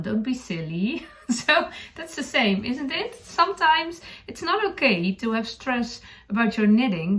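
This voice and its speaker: Creaky-voiced woman with a Dutch accent. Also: mid-range voice. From Netherlands. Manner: hesitant, searching for words, chuckling now and then. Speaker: knitting podcast host. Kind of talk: casual chat to camera.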